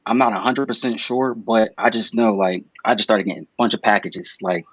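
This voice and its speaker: A man's voice: on the low side, fairly even intonation, brisk, fairly bright tone, husky, no room sound. Laid-back young man on a muffled call. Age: 20s. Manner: laid-back, rambling with fillers. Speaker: guest reptile breeder. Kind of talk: casual podcast chat over video call. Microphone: video call.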